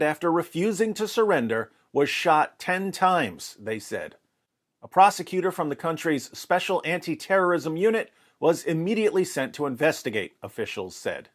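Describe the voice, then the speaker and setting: A man delivering scripted commentary as a host, in an emphatic, deliberate, rhetorical manner. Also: on the high side, big pitch swings.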